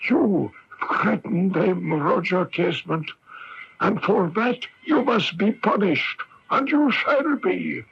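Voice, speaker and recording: An actor playing a vengeful, haughty phantom accuser in a radio mystery drama, with a raspy, menacing, condescending delivery. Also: male voice, sits high, heavily acted. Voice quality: raspy, creaky. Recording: dull lo-fi radio recording.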